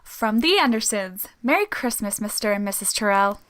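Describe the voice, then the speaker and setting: A woman's voice: mid-range voice, expressive, normal speed, fairly bright tone, lightly acted. A woman playing an eager, sweet-natured teenage daughter in a radio drama, speaking in an earnest, girlish way. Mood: excited. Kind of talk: vintage radio drama acting.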